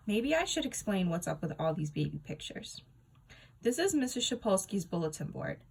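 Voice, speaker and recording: Slightly husky woman reading a storybook. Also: mid-range voice. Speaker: storybook reader. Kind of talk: expressive children's read-aloud narration.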